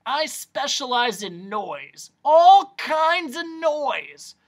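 Manic male doctor, storybook character, high swooping pitch, bellowing, theatrical, drawn-out emphatic words. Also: sing-song, heavily acted. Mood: excited, boastful. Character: manic excitable doctor, loud noise specialist, eager salesman.

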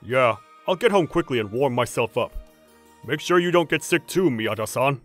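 An actor playing a polite, flustered teenage schoolboy in a manga dub, earnest and prone to stammering. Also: male voice, medium pitch, lively intonation, moderate pace, a bit bright, soft background music, natural voice. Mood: cheerful. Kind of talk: manga dub voice acting, scripted.

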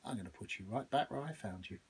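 A man voicing a plain, stubborn fisherman who talks in a calm, dry way. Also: fairly low pitch, fast talker, breathy edge, heavily acted. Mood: firm.

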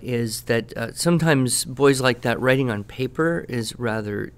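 A man in casual podcast talk, giving teaching advice in a measured, deliberate, dryly playful way. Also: medium pitch, smooth. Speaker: podcast guest expert answering questions.

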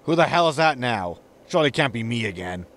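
A man's voice: mid-range voice, expressive, brisk, background noise, close to an everyday voice. A man playing a sarcastic, casual, grumbling spaceship captain in a sci-fi comedy audio drama. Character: flippant, grumbling male starship captain.

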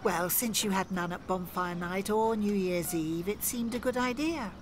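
Radio soap village organiser played by a woman: older, jaunty, well-spoken, mid-pitched, faint outdoor ambience. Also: normal speed, noisy background, close to an everyday voice. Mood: brisk.

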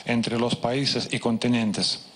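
Brief: male consecutive interpreter, hesitant delivery, mid pitch, faint hall hum. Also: flat delivery, slow pace, fairly bright tone. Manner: hesitant, with fillers and restarts.

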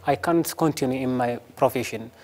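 A young man from Sudan sits as a guest in a TV studio. He speaks English as a second language, slowly and haltingly, in short simple phrases. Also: medium pitch, darkish tone. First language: not English. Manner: halting non-native English, short answers.

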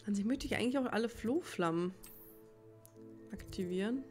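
Woman doing a casual let's play, kinda rambling and thinking out loud, music behind her. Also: mid-range voice, normal speed. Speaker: let's player. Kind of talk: casual gameplay commentary. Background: game music.

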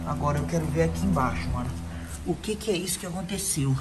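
A man's voice: fairly high pitch, fast talker, music in the background. A young Brazilian man vlogging in a car and parking garage, talking animatedly to his viewers on a handheld camera. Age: young adult. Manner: animated, exclaims a lot, addresses viewers as rapaziada. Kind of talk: excited vlog narration to viewers.

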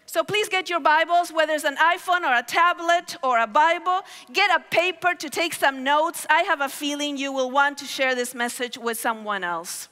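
A middle-aged woman preaches on a big hall stage through a headset mic. Her voice is high and bright, her storytelling animated. The long reverb of the hall trails each phrase. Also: moderate pace, hardly any pauses. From Argentina. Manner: animated storytelling with gestures, calls on the crowd. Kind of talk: sermon. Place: large hall stage with audience.

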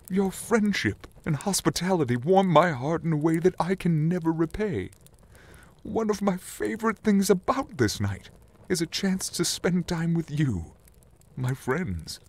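A jolly old Santa, played by a man in a holiday audio drama. He is gracious and hearty, stretching his words, with a highish, very mobile pitch. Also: big pitch swings, unhurried, full character voice. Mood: tender.

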